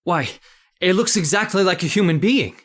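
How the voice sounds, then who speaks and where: Male actor as trusting researcher doctor, shaken and hesitant, animated intonation, vintage radio melodrama. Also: high-pitched, normal speed, slight background noise. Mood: astonished. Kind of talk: old-time radio horror acting.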